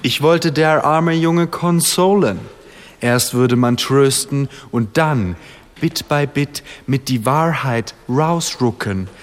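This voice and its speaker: A man's voice: mid-range voice, slow, lightly acted. An actor playing a jolly, mock-earnest showman in a radio comedy sketch, exaggerated and didactic, mixing English with broken German. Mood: mock solemn. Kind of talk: stagey language-lesson comedy sketch dialogue. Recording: long reverb with music behind.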